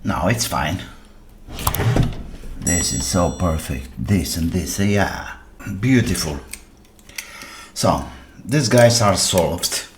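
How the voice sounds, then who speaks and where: A man who speaks English as a second language, giving casual hands-on repair commentary as a channel host, haltingly thinking aloud while he works. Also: low-pitched, animated, noisy background. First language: non-native English speaker.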